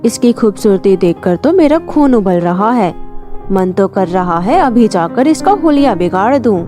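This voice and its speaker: A woman's voice: mid-range voice, lively intonation, unhurried, dark tone, soft background music, natural voice. A woman voicing an arrogant, mischievous young fairy in a storybook tale, with a haughty, taunting manner. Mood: jealous, spiteful.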